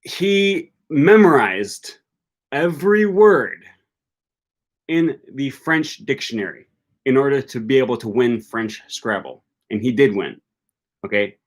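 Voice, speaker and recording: A man's voice: mid-range voice, lively intonation, takes it slowly. Emphatic man hosting a live language stream. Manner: emphatic, teacherly, frequent "okay" and "right" tags. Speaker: language learning channel host. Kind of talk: live-stream discussion, reading chat comments.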